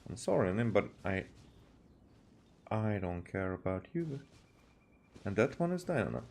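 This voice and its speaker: A man doing casual gameplay commentary as a streamer, with a halting, hesitant delivery. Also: lowish voice, sing-song, vocal fry, a little mellow. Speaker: game streamer. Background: game music.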